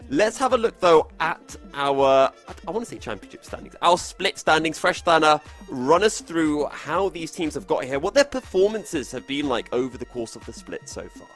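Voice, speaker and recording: A man's voice: medium pitch, fairly bright tone. A man hosting an esports broadcast, presenting the show in an emphatic, upbeat way.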